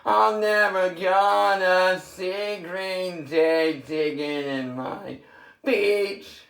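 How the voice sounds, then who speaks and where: Rough-voiced man freestyling slowly. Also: sits high, fairly even intonation, fairly bright tone, echoey room. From San Diego, California. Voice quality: rough, worn voice. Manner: rambling half-sung freestyle, swearing, then chatty. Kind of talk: improvised singing and casual vlog talk.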